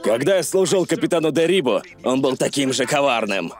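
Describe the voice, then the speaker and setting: A man's voice: mid-range voice, lively intonation, fairly quick, natural voice. A man voicing a proud, pompous little animal pirate admiral, an old sea veteran, in a cartoon dub.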